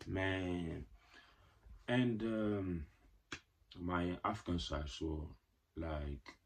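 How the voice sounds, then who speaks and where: A man's voice: deep voice, very slow, a little hiss or hum. A young man telling a casual personal story on his channel, rambling with lots of filler words and playful slangy asides. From Ghanaian parents. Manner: rambling, heavy "like" and "you know" fillers. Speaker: vlogger.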